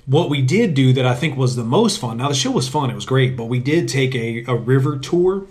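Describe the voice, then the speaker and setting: A man's voice: medium pitch, animated, normal speed, darkish tone. A young man co-hosting a podcast in casual chat, jokey and self-deprecating, laughing often. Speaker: podcast co-host. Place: sitting on a bench.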